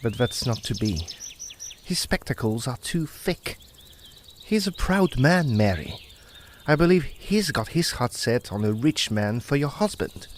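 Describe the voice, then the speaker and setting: A man's voice: medium pitch, sing-song, unhurried, a little hiss or hum. An actor playing an earnest, devoted young man in a radio drama, speaking with an old-fashioned turn of phrase. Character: young male village lover, earnest and devoted.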